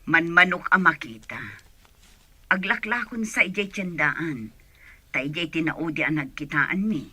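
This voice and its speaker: A woman's voice: low-pitched, unhurried, sharp tone, slightly rough, no echo, full character voice. A woman playing a middle-aged mother talking with her daughter in an Ilocano radio drama. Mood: motherly.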